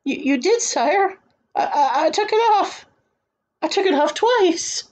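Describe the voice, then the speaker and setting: Lady doing a shy polite kid in a storybook, stammery n confused, high husky voice. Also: big pitch swings, normal speed, a little mellow, full character voice. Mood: flustered, bewildered. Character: timid, polite, bewildered young peasant boy. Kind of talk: storybook character acting.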